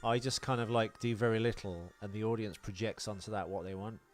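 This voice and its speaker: A man's voice: on the low side, steady tone, a little fast, soft background music. A man co-hosting a film podcast in a casual, jokey, rambling way. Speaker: podcast co-host.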